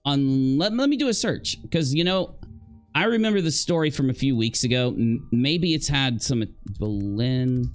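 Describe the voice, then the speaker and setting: Male livestream commentator, mid pitch, conversational and halting, question-heavy reaction style, faint music underneath. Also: animated. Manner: halting, thinking aloud, rhetorical questions. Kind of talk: casual live reaction commentary.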